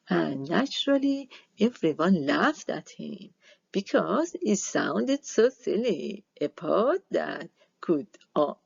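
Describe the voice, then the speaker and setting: A woman reading a children's story aloud with expressive delivery, on a dull lo-fi recording. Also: fairly low pitch, creaky, breathy edge, no room sound. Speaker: storybook reader.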